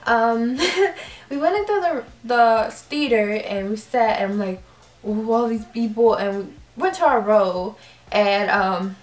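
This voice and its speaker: Animated young woman vlogger. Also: medium pitch, slow. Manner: animated, acts out quoted reactions. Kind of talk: casual storytelling. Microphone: camera mic. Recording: roomy echo.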